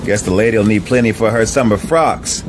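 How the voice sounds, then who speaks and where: A man's voice: lowish voice, normal speed, close to an everyday voice. An actor playing a chatty, folksy male railway clerk in a radio drama, with breezy clipped phrasing. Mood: breezy, nosy. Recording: noisy radio drama, railway din behind.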